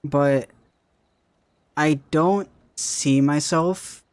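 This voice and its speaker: A man's voice: on the high side, fairly even intonation, drawn-out words. A young man, a content creator, chatting casually about his future, rambling and often repeating himself with "right?". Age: young adult. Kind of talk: casual chat.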